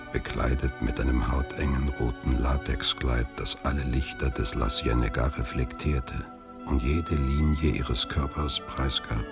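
An actor playing a wistful man recalling an old love, in measured, reflective, literary radio drama narration with a very deep gravelly voice. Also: heavily acted.

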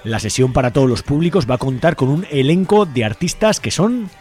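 A man's voice: medium pitch, lively intonation, brisk, a little mellow, slightly rough, faint music behind. A man hosting an informal radio interview, explaining things in a colloquial way. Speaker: radio host.

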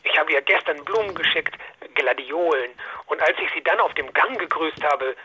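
An actor playing a timid, excitable young office worker who whimpers and stammers in a comedic audio drama, heard over the telephone. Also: male voice, on the high side, normal speed, slightly rough, heavily acted, poor audio. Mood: relieved, rueful. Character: whiny, timid, easily outraged young male office worker.

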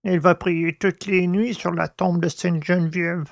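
A man playing a gruff, superstitious old servant who grumbles uneasily in rustic, exclamatory talk in an audiobook drama. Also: high voice, little pitch movement, unhurried, no room sound. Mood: ominous, superstitious.

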